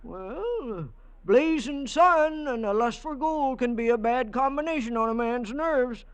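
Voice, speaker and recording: An actor playing a folksy desert-town local in an old radio drama, telling legends in a halting, hesitant way. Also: male voice, very high-pitched, smooth. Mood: ominous. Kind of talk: 1950s radio acting.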